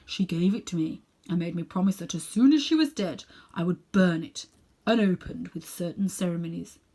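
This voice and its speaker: Woman acting middle-aged gentleman magician, pompous, condescending, sinister, self-important, drawn-out phrasing, storybook villain. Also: lowish voice. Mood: confiding. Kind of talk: children's storybook character reading.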